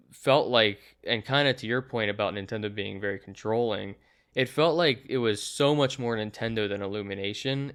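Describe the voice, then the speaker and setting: A man's voice: lowish voice, a bit bright, clean tone. A young man giving a casual, conversational movie review, talking in loose, chatty asides. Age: young adult.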